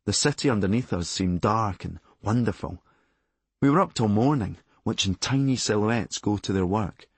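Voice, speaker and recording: A man with a Glasgow Scottish accent reading a scripted memoir, with a deadpan, darkly humorous delivery. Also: on the low side, normal speed, breathy edge, no echo. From Glasgow, Scotland. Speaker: audiobook narrator and author.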